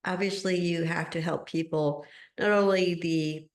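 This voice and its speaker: Woman host, has a cold, slightly nasal, friendly, lowish voice, echoey room. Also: fairly even intonation, a little slow. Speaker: podcast host. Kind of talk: podcast interview.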